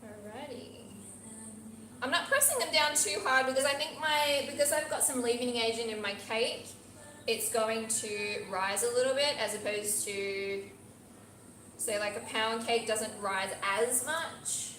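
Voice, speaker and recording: An Australian woman with a bright, fairly high voice walks viewers through a recipe in a chatty, encouraging way. She is heard in an echoey teaching kitchen with a faint hum behind her. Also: unhurried. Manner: chatty, encouraging, talks while working with her hands. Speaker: pastry instructor. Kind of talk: live cooking demonstration. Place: teaching kitchen at a pastry academy.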